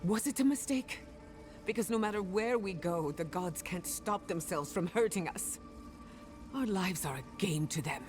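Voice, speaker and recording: Wounded, sardonic scholar of souls played by an actress, fantasy game; lowish female voice over music. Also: lively intonation, moderate pace, close to an everyday voice. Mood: bitter, angry. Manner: emotional, sardonic. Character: wounded, sharp-tongued female animancer seeking answers. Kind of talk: fantasy video game acting.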